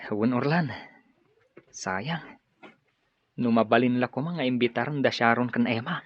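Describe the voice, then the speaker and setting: A man's voice: mid-range voice, measured pace, no room sound, lightly acted. A man acting a friendly, hopeful worker in a radio drama. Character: friendly, hopeful male worker chatting with his mate. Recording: muffled radio drama with music behind. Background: music.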